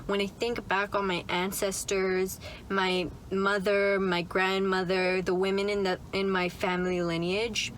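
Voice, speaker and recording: A young woman talks straight to her phone from the seat of a car. Her voice sits at an ordinary middle pitch, and she rambles in a friendly, upbeat way, tagging thoughts with "like" and "right?". A faint hiss sits under the recording. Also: flat delivery, a little slow, low-quality recording. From Canada. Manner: chatty, upbeat, full of "like" and "right?". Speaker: vlogger. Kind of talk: casual chat to camera. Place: inside a parked car.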